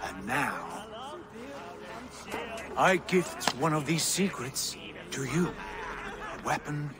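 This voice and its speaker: An actor playing a hearty, boisterous Viking prince in a video game cutscene, laughing often. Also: male voice, mid-range voice, sing-song, very slow, noisy background. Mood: earnest.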